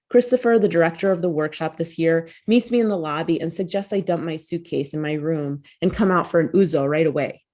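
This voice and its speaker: Low-voiced woman reading her essay. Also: a little mellow. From United States. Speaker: author. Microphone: video call.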